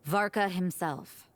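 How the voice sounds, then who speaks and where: An actress playing a blunt, cynical churchwoman with a stern manner in a video game. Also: lowish voice. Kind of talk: video game character dialogue. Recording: music behind the voice.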